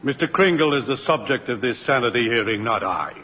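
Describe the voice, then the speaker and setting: A man's voice: mid-range voice, lively intonation, brisk, natural voice. A man playing a formal, harried courtroom judge in a 1940s radio drama, hesitant and hemming. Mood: stern. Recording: muffled, noisy 1940s radio broadcast.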